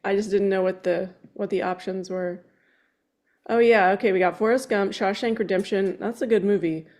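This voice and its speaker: A woman with a medium-pitched voice takes part in a relaxed group discussion. She talks casually about films in a conversational style. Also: normal speed. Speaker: table read participant.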